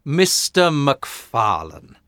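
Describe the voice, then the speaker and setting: A man voicing a calm, clever detective who speaks quietly and evenly. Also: mid-range voice, clear, resonant tone, no room sound, voice pushed up. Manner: quiet, measured.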